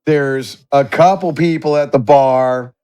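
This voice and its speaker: A man's voice: medium pitch, steady tone, slow pace, mellow, smooth. A man running a tabletop game as game master, narrating in a conversational, descriptive way.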